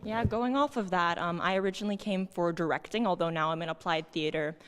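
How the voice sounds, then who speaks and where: A young woman speaks as a student on a panel. Her voice sits fairly low, and her answers are casual and unscripted. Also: steady tone, a little fast, a bit bright, slight background noise. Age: young adult.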